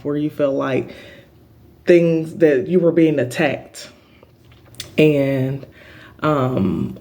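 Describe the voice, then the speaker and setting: A middle-aged man speaks earnestly about spiritual healing to his channel's viewers, pausing often on filler ums. His voice is big and pitched a little high for a man, with an even, level tone that barely rises or falls. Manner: earnest, halting, many um fillers. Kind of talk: spiritual healing vlog monologue.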